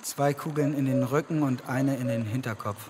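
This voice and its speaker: A man plays a cool, terse newcomer in his thirties in a radio play. His medium-pitched answers are clipped and factual over clear noise. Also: steady tone, moderate pace, a little mellow, lightly acted. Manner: clipped, matter-of-fact.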